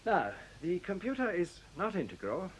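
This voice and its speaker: A man's voice: sits high, huge pitch swings, normal speed. An actor in a radio play voicing a fussy, eager male computer engineer who explains things politely and a little hesitantly. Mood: eager explaining. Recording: faint hiss on old radio recording.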